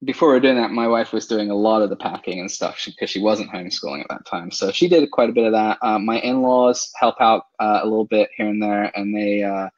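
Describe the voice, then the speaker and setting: A man from England, a guest in a casual interview, talking with many fillers and soft laughs. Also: on the low side, fast talker, few pauses, muffled recording. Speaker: interview guest, online seller.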